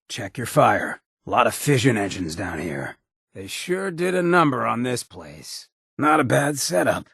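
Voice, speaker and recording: An actor playing a roguish, irreverent ghoul mayor in a video game, with a raspy voice, an American accent and a streetwise, sardonic drawl. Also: male voice, medium pitch, fairly bright tone, dry recording, clean studio sound, full character voice. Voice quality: raspy, gravelly. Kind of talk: video game character dialogue.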